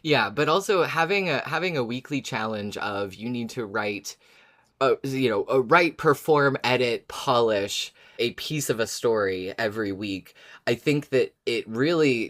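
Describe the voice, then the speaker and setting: A man's voice: lowish voice, fairly bright tone. A man co-hosting a podcast in casual conversation, agreeable and restarting sentences as he thinks. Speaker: podcast co-host.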